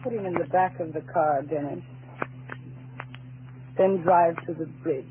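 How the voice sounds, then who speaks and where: An actress playing a cold, domineering murderous wife in a 1940s radio thriller, with a cutting, sarcastic, imperious delivery. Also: female voice, lowish voice, fairly even intonation, a little slow, narrow, tinny audio, lightly acted. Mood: cold, resolute. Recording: noisy, thin 1940s radio recording.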